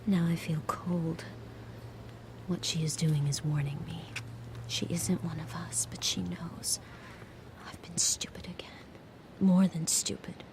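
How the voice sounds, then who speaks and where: An actress playing an anxious, guarded captive handmaid in an audio drama, in dialogue and intimate inner monologue. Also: female voice, on the low side, flat delivery, measured pace, in a whisper, poor audio. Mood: whispered dread.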